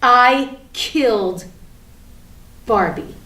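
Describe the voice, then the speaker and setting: A woman's voice: a little high, drawn-out words, full character voice. A woman performing a theatrical monologue as a defiant, ranting everywoman, sardonic and emphatic in her delivery. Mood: bitter confessing. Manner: sardonic, emphatic, swinging from hushed confiding to outraged ranting. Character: ranting, defiant everywoman gleefully confessing she killed Barbie. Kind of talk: theatrical monologue at a staged reading. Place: live staged reading in a room. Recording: echoey room with background noise.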